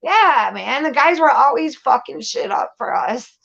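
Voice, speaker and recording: Higher-pitched woman, blunt and slangy. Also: very animated, unhurried, vocal fry, a bit bright, highs cut off. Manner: profane, slangy, blunt storytelling. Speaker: talk show panelist recounting prison life. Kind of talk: candid casual chat.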